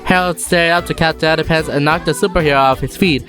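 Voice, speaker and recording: A woman's voice: low-pitched, quick pace, a bit bright, soft background music. A woman reading a children's story as a scripted narrator.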